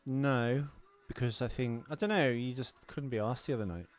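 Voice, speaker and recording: A man's voice: medium pitch, normal speed, faint music behind. A man chatting casually as a podcast co-host, informal and somewhat argumentative as he talks about films. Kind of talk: casual film chat.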